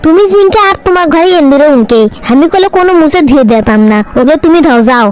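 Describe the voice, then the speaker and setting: Woman voicing a very shrill sassy village girl. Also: lively intonation, fast, a little mellow, slight background noise. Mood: sassy, indignant. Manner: indignant, argumentative back-talk. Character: sassy, vain, whiny young village girl. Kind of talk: comedy cartoon dialogue.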